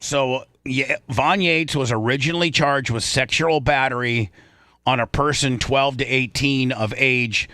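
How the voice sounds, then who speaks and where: A man's voice: medium pitch, unhurried, bright, forceful tone, no room sound, clean studio sound. An American man hosting a comic talk radio show, bantering and reading news aloud, often stumbling and repeating words.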